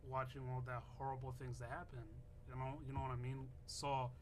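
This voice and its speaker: A man's voice: mid-range voice, level tone, a little fast, a little hiss or hum. A man with a Rwandan accent, commenting earnestly in a casual, storytelling way and acting out the conversations he describes. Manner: earnest, storytelling, acts out quoted exchanges. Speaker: reaction host.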